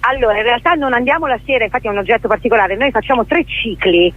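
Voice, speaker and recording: A woman's voice: a little high, quick pace. A woman being interviewed on radio, explaining things spontaneously and often correcting herself as she goes. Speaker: theatre director, interview guest. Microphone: telephone. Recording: narrow, tinny telephone line.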